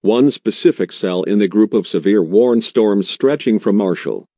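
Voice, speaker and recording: Male TV meteorologist role played by an actor: low, soft-edged voice, solemn and pleading, live emergency weather coverage. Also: normal speed, dry recording. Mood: grave, concerned. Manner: solemn, earnest, imploring. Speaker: TV weather forecaster. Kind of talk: urgent live weather broadcast.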